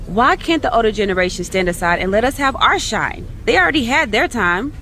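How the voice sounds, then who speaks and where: A woman's voice: on the high side, sing-song, a little fast, sharp tone, slight background noise, heavily acted. An actress playing a cocky, indignant young female wrestler with a brash, emphatic delivery. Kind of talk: video game cutscene dialogue.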